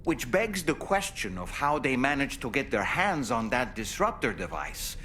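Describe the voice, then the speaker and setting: A thoughtful, courteous game crewman with a bright, crisp-edged voice. His formal musings rise and fall in lively swoops as he gently wonders aloud. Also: medium pitch, normal speed, a little hiss or hum. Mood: pondering. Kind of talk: video game character monologue.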